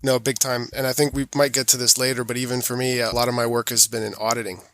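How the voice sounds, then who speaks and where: A man's voice: mid-range voice, level tone, fast talker, fairly bright tone, no echo. A man with an American accent giving informal, self-effacing answers as an interview guest. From born in New York, raised mostly in Europe. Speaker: podcast guest, sustainability auditor.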